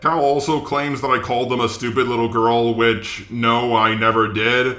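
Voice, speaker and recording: A man's voice: mid-range voice, little pitch movement, moderate pace, bright, forceful tone, echoey room, soft background music. A man delivering scripted commentary in a sarcastic, exasperated way, with swearing. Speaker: commentary video creator.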